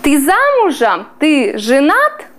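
High, lively woman teaching slowly. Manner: slow, clearly drawn-out pronunciation of foreign words. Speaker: language teacher. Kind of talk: classroom teaching. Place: small classroom with whiteboard.

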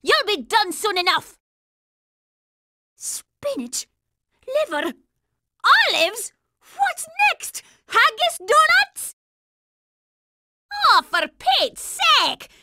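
A woman's voice: very high-pitched, sing-song, slow, pauses often, sharp tone, no echo, studio quality, heavily acted. A woman voicing a bossy, hot-tempered young girl soldier in a cartoon video game, brash, scolding and sarcastic. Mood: exasperated.

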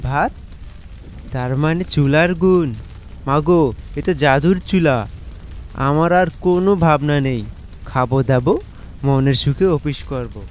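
A man playing a poor bachelor office worker in an animated folk tale, exclaiming, sighing and muttering to himself. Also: a little high, slow pace, long pauses. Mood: delighted. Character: Sharif, grumbling poor bachelor office worker, kind-hearted.

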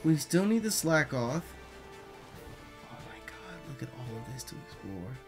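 A man doing let's play commentary on a video game. He is excitable, repeats catchphrases, swears a lot, and his voice is getting hoarse. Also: mid-range voice, unhurried, over music. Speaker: gaming YouTuber.

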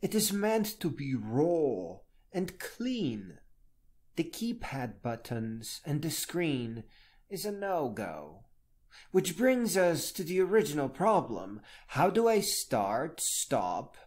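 A man's voice: mid-range voice, darkish tone. A man reading a scripted personal blog piece aloud as its narrator.

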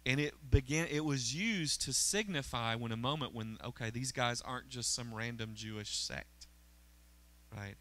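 A man's voice: medium pitch, moderate pace, fairly bright tone. A middle-aged man, preaching a conversational sermon on a church stage into a handheld mic and often checking in with the congregation. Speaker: pastor. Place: church auditorium stage, indoors.

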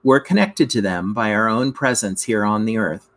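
A man reads educational narration aloud like a teacher, in measured, careful phrases with emphasis at sentence openings. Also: fairly low pitch, a bit bright. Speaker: teacher reading a lesson aloud.

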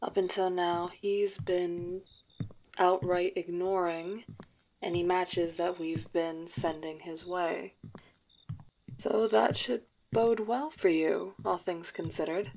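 A woman's voice: fairly low pitch, steady tone, slow pace. A woman playing a formal, precise matchmaker at a dating agency in an audio drama. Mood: briefing. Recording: dull lo-fi sound, faint hiss.

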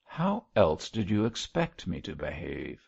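A man voicing a young, gentlemanly parson in an audiobook, speaking formally and piously with a nervous, faltering earnestness. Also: low-pitched, huge pitch swings, measured pace, vocal fry, husky, dull audio, exaggerated voice.

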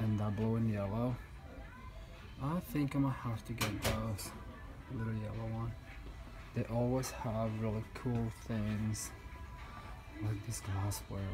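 A man's voice: on the low side, vocal fry, rough recording. A man in his thirties filming a casual shopping vlog on a phone held at arm's length, gushing over decor in big stores and outside in a parking lot. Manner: chatty, gushing, enthusiastic. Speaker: home decor vlogger.

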